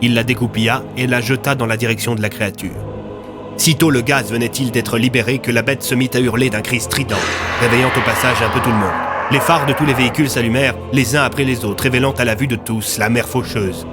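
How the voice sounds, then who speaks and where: A man's voice: mid-range voice, fairly even intonation, fast. A man narrating a scripted audio fiction as a storyteller. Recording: music under the voice.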